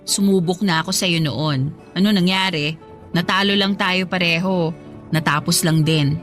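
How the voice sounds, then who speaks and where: A woman's voice: lowish voice, normal speed, fairly bright tone, faint music behind, lightly acted. An actress playing a newly separated woman being courted in a Tagalog radio romance drama, talking in a playful, conversational way. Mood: hesitant, wistful.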